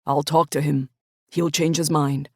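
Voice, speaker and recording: Woman reading young male coach, subdued, regretful, quietly guilty. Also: low voice, flat delivery, unhurried, slightly rough, no room sound, clean studio sound. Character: troubled young adult man, a guilty football coach. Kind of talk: audiobook character voice.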